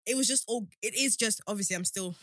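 A young woman from Zimbabwe co-hosts a relaxed podcast chat, chiming in with quick agreement and easy laughter. Her voice is bright and mid-pitched. The recording is close and dry. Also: no echo. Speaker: podcast co-host. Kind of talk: casual chat.

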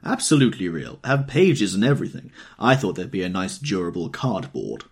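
A man voicing a stout, drunk middle-aged man who talks excitedly and insistently. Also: deep voice, fairly quick, gravelly, breathy edge, heavily acted.

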